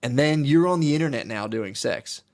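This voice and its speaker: A man's voice: medium pitch, lively intonation, brisk, a little mellow. A man chatting casually and crudely as a comedy podcast host, rambling through profane stories and acting out bits of dialogue. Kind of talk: casual, crude comic chat.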